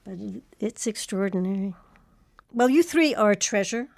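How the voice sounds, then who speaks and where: A woman hosting a public radio arts show, speaking in a measured, articulate way. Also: mid-range voice, expressive, vocal fry, no echo. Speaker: radio show host, founder and artistic director.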